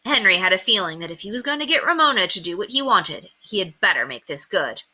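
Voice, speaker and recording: A woman reading a children's story aloud with expressive, dramatic emphasis. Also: medium pitch, fast talker.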